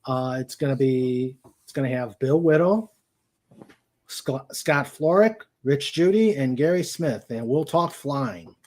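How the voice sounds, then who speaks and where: A man hosting a casual livestream, speaking in a halting way as he searches for words. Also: mid-range voice, a little mellow. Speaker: host of a live-streamed show.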